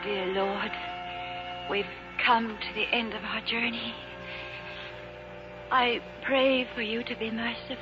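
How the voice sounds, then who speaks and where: An actress playing a devout, genteel, determined and tender woman in a vintage radio drama, speaking in a proper, earnest way. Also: fairly high pitch. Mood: solemn, prayerful. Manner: proper, earnest, well-spoken. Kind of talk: 1950s radio theater acting. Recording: muffled old radio broadcast recording.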